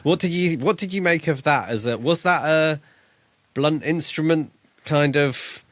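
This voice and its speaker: A man co-hosting a podcast, casually discussing a book in a relaxed way, asking questions and laughing easily. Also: a little high, unhurried, no echo. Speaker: podcast co-host.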